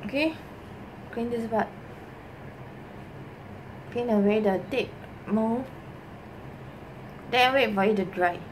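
A middle-aged woman with a Singaporean accent giving a casual, improvised how-to, haltingly and repeating herself, with particles like lah and ah. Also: fairly high pitch. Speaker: vlogger giving a cleaning demonstration. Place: small bedroom with desk, indoors. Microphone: camera mic at arm's length on desk. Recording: noisy home recording with room ambience.

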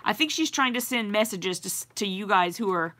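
A woman's voice: mid-range voice, lively intonation, a little fast, bright, forceful tone. A woman in her forties hosting a casual live stream from her home craft room, talking at a desk webcam with lots of fillers and little laughs as she rambles along. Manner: rambling, chatty, many fillers and giggles. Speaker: YouTube livestream host.

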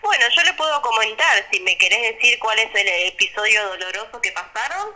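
A woman's voice: on the high side, very animated, brisk, bright, forceful tone. A woman with an Argentine accent, a secretary answering calls, heard over a telephone line. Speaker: swimming school secretary answering phone. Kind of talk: phone conversation.

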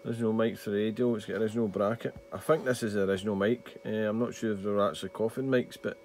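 A man's voice: on the low side, steady tone, fast talker, darkish tone. A man chatting casually and a little hesitantly, unscripted, as he demonstrates radio equipment as a hobbyist. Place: small indoor radio desk. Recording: very noisy, radio static and hiss. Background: CB radio noise.

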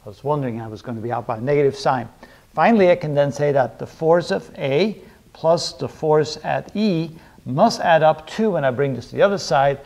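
A middle-aged man with a slightly high voice teaches as a lecturer. He works through a problem aloud at a whiteboard, methodically laying out each step. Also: fairly quick, darkish tone. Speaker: engineering lecturer. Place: indoors, in front of a whiteboard.

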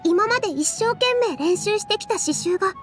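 女性が演じる、ゲームの若い踊り子。柔らかく丁寧で誠実な話し方の優しい娘。. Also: female voice, extremely high pitch, animated, normal speed, faint music behind. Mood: encouraging. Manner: soft, patient, sincere. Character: gentle, earnest young female dancer, encouraging friend.

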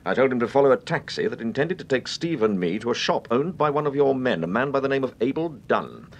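An actor with a British accent playing an urbane, composed gentleman detective in a radio drama, polite, clipped and assured. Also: expressive, fast, close to an everyday voice. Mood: calmly confident. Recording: rumbly, noisy old radio recording.

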